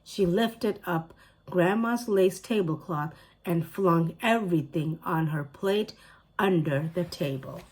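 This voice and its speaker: A woman teacher reading a children's chapter book aloud, clear and expressive. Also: lowish voice, takes it slowly. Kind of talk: storybook read-aloud.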